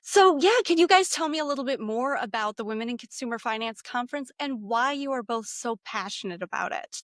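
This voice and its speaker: A woman's voice: fairly high pitch, animated, a little fast, fairly bright tone, no echo, studio quality. A woman podcast host who keeps affirming her guests with agreeing murmurs in a casual interview.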